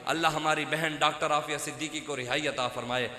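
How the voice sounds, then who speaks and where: Impassioned preacher in an echoey hall. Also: male voice, fairly even intonation, fairly quick. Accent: Punjabi-accented Urdu. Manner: impassioned, rising to loud outbursts then dropping low. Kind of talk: fiery sermon. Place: outdoor religious gathering with loudspeakers. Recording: echoey hall with long reverb.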